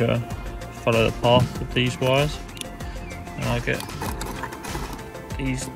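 Young man, gravelly mid-pitched voice, easygoing boat-fixing vlogger, rambly and unscripted, outdoor action-cam sound. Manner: relaxed, rambling, with frequent ums and self-corrections. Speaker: sailing vlogger. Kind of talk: casual how-to narration while working. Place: on a small sailboat outdoors.

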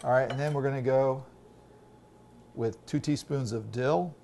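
A man's voice: mid-range voice, unhurried, gravelly, mellow, slight background noise. A middle-aged man, a chef, patiently walking children through a recipe in a home kitchen. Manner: patient, instructive, coaching kids. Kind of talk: cooking demonstration.

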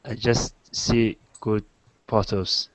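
A young man from Mongolia introducing himself in halting learner English on a video call. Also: fairly low pitch, little pitch movement, no room sound, poor audio. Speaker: student. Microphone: earphone mic on a video call.

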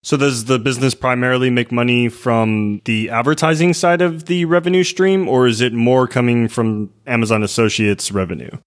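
A man's voice: medium pitch, normal speed, few pauses. Upbeat male podcast host. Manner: upbeat, reads listing details from a script. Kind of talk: scripted podcast interviewing.

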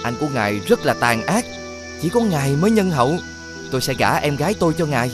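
A man voicing a wise, friendly talking fox in an animated fairy tale, earnest and insistent as he gives advice. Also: on the high side, quick pace, music in the background.

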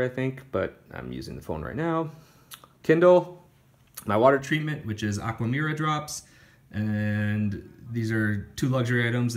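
Young man, lowish voice, casual gear rundown, lots of ums and restarts, indoors at home. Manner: casual listing with many fillers and restarts. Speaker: hiker showing his gear. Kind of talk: casual gear walkthrough voice-over.

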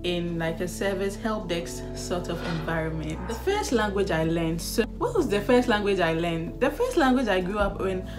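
A young woman with a Ghanaian accent chats casually to her viewers. She tells her stories animatedly and laughs or sighs along the way. Her pitch sits in a middle range, and music plays clearly behind her. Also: lively intonation, moderate pace, hardly any pauses. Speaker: vlogger. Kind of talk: casual chat.

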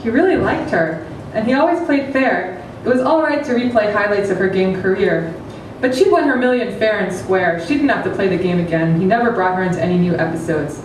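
Female literary reader, dramatic narration, heard from afar with clear background noise and heavy echo. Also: medium pitch, animated, a little fast. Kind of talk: dramatic reading of a comic novel. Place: stage with curtains before an audience. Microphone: microphone on a table stand. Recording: very echoey hall, distant, clearly noisy.

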